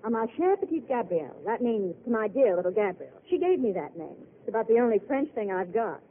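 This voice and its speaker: An eager, earnest young waitress, with a lively voice that moves up and down a lot as she talks. It comes through thin and tinny, like an old radio set with a faint hiss. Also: fairly high pitch, quick pace. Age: young adult. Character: dreamy, earnest young woman at a desert diner. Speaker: diner waitress. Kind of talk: 1930s radio drama acting.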